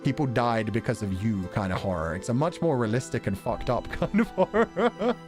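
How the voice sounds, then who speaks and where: A man's voice: mid-range voice, very animated, moderate pace, music in the background. A man livestreaming and chatting casually with viewers in a wry, sarcastic way, swearing now and then.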